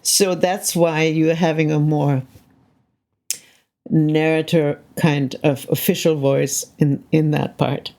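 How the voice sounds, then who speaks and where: A woman's voice: low voice, little pitch movement, slow pace, warm tone, a little hiss or hum. An older woman, a soundscape composer, being interviewed, speaking thoughtfully and often checking in with "right?". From Canada. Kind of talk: reflective conversational interview.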